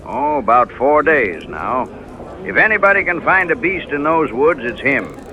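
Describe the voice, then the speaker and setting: An actor playing an excitable, yarn-spinning frontier townsman in a radio drama, folksy and emphatic. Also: medium pitch, moderate pace, muffled recording. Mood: folksy, admiring.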